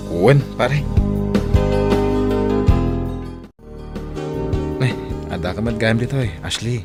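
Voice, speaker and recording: A man's voice: medium pitch, fairly quick, loud music behind the voice, lightly acted. An actor playing a patient, earnest young working man in a radio drama, with a Northern Philippine Ilocano accent. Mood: casual. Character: hardworking, patient young man Saldy, away from his sweetheart.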